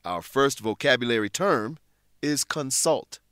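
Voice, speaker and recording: A man's voice: mid-range voice, animated, measured pace, a bit bright, smooth, no room sound, clean studio sound. A man narrating a radio serial and hosting its English lesson, putting scripted questions to listeners.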